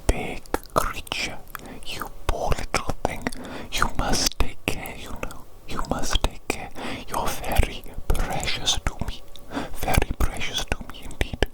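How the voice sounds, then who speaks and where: A mad evil doctor, acted out by an ASMR performer speaks in a hushed whisper. The delivery is very slow and repetitive, fussing tenderly like a doting creator, with scorn for ordinary humans. It is a medical roleplay meant for sleep. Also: natural voice, rough recording. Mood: doting, concerned.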